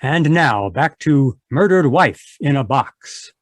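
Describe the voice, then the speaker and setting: A man's voice: mid-range voice, animated, slow, dry recording. A man playing an emphatic announcer for an old-time radio mystery show. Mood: emphatic, teasing. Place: live video call at home. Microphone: video call.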